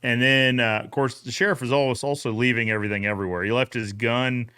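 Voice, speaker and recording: A man's voice: medium pitch, steady tone, fast, sharp tone, clean tone. A man co-hosting a casual recap chat, often retelling conversations by quoting both sides. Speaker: podcast co-host.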